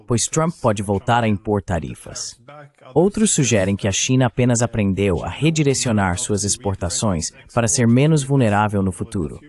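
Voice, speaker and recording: A man speaking as an interview host in a dub, measured and inquiring. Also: on the low side, darkish tone. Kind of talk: dubbed interview questioning.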